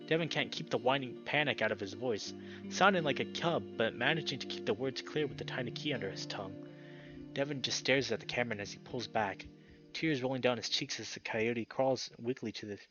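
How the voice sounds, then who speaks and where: A man's voice: medium pitch, lo-fi sound. A young man hosting and narrating a visual novel, reading aloud with casual commentary. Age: young adult. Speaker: video host and narrator. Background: loud game music.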